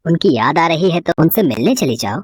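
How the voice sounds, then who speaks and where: A man playing a mild-mannered, concerned young husband with a husky voice in a family story. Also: fairly high pitch, lively intonation, moderate pace, dry recording, clean studio sound. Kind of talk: dramatised moral family story.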